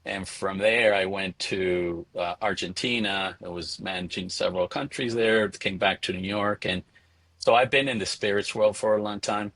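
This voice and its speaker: A middle-aged man from Venezuela answering interview questions conversationally, in a halting way, repeating words as he thinks. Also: on the low side, steady tone, creaky, a bit bright, no room sound. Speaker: interview guest, spirits entrepreneur.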